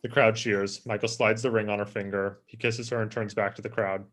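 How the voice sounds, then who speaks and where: A man narrates a script's stage directions at a table read. His voice sits a little low, and he reads in a plain narrating style. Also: flat delivery, a little fast, fairly bright tone.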